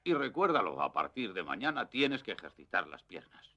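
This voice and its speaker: Un actor de doblaje con acento castellano interpreta a un abuelo montañés severo pero bondadoso, de voz grave y áspera, en dibujos animados. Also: male voice, low voice, sing-song, brisk, bright, forceful tone, muffled recording, exaggerated voice. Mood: firm. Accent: Castilian Spanish. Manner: firm, authoritative. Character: stern but caring old bearded mountain grandfather. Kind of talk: dubbed cartoon acting.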